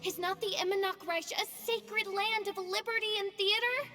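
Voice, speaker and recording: Haughty, high-pitched princess girl. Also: steady tone, normal speed, pushed and bright. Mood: alarmed, indignant. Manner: grandiose, pompous, theatrical diction. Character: haughty young princess girl with theatrical, archaic speech. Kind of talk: anime video game voice acting. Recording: long reverb, music behind.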